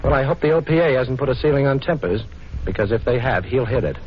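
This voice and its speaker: A man playing a quick-witted, cool amateur sleuth with a glib, wry manner in a 1940s radio drama. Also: mid-range voice, lively intonation, natural voice. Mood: wry, explaining. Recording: dull, noisy 1940s radio recording.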